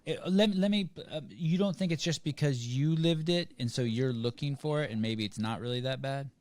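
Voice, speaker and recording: Blunt teasing podcast host. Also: male voice, medium pitch, moderate pace, dry recording. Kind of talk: casual podcast interview.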